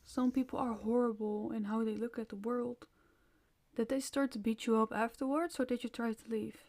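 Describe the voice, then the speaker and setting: A woman playing a gentle, concerned vampire mother in an audio roleplay, coaxing a child with nurturing but firm parental care. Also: on the high side, steady tone, normal speed, lightly acted. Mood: sympathetic concern. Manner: soft-hearted, coaxing, parental.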